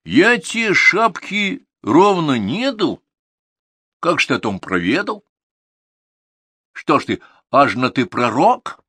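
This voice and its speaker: A man's voice: fairly high pitch, big pitch swings, takes it slowly, pauses often, bright, forceful tone, slightly rough, no echo, pitched above the performer's own voice, exaggerated voice. A man voicing a young peasant lad who pleads and protests before a tsar. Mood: defiant. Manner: pleading, whining.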